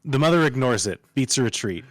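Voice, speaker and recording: A man's voice: mid-range voice, lively intonation, brisk, a bit bright, dry recording. A man narrating, reading screenplay stage directions aloud as part of a table read.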